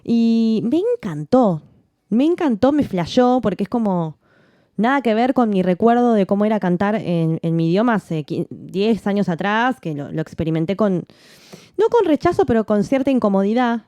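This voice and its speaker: A woman, a jazz singer co-hosting a podcast, talking in a relaxed, reflective way with frequent restarts. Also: medium pitch, moderate pace, no room sound, highs cut off. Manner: reflective, many restarts and "¿no?" tags. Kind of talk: casual chat.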